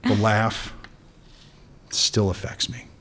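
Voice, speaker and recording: A man's voice: fairly low pitch, little pitch movement, slow, fairly bright tone. A man voicing a cynical, world-weary male weapons smuggler in a radio play, with a dry, flirtatious way of talking. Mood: wry.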